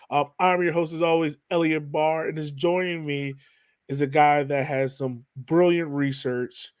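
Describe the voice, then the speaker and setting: A man's voice: mid-range voice, darkish tone, no room sound. A man hosts a podcast in a casual, chatty way, with a mid-range voice. He talks to his guest informally, like a friend, in a conversational interview style. The sound is very dry, with hardly any room to it.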